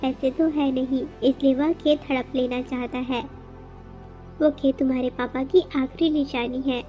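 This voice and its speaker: A woman acts out a worried, hardworking mother bird for a children's cartoon. Her voice sits high and pleads tenderly and earnestly. The sound is a little dull, with music playing beneath. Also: steady tone, normal speed, lightly acted. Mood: worried, sorrowful. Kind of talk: Hindi children's cartoon dialogue.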